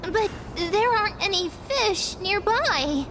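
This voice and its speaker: A woman's voice: very high-pitched, animated, takes it slowly, background noise, exaggerated voice. A woman voicing a tiny, childlike, indignant spirit creature in a video game. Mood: puzzled. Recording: background music under the voice.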